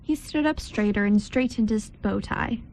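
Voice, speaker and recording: A woman's voice: mid-range voice, moderate pace, a little mellow, slight background noise, low-quality recording. A woman reading scripted story narration aloud as narrator, occasionally stumbling over the words.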